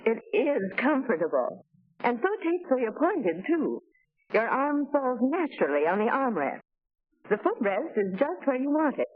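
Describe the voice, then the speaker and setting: A woman's voice: a little high, sing-song, normal speed. An actress playing a well-bred, admiring lady car shopper, genteel and carefully enunciated, in 1940s promotional film style. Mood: pleased, admiring. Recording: tinny 1940 film soundtrack.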